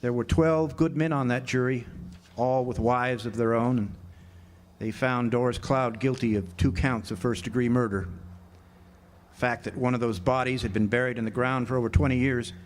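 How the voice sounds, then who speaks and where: A man's voice: mid-range voice, steady tone, moderate pace, slight background noise, natural voice. A man plays a wry drifter in a period radio play. His voice is mid-range, and he talks like a folksy storyteller looking back on a crooked little town. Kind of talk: radio drama narration and dialogue. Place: live amateur radio drama performance at an amphitheater.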